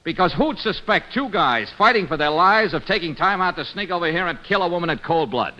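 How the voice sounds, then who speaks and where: Sharp-voiced, hard-boiled private eye man. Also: high-pitched, expressive, fairly quick. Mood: sardonic, accusing. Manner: wisecracking, hard-boiled, accusing. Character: tough, sardonic male private eye. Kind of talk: 1940s-50s radio detective drama acting. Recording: dull vintage radio recording.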